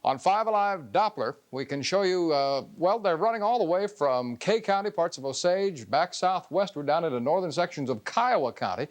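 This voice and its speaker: Higher-pitched American TV weatherman. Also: lively intonation, moderate pace, few pauses. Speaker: TV weather forecaster. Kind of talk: ad-libbed weather forecast.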